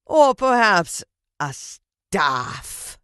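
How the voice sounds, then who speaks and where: A woman voicing a gloating, vengeful older male villain from a children's storybook, declaiming slowly and theatrically with menace. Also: fairly low pitch, big pitch swings, fairly bright tone, clean tone, dry recording, studio quality, heavily acted.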